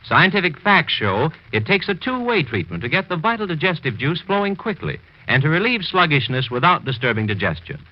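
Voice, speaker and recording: A man's voice: fairly high pitch, big pitch swings, a little fast, few pauses. A man reading a scripted 1940s radio commercial as an announcer, with polished, emphatic salesmanship. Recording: dull old radio recording.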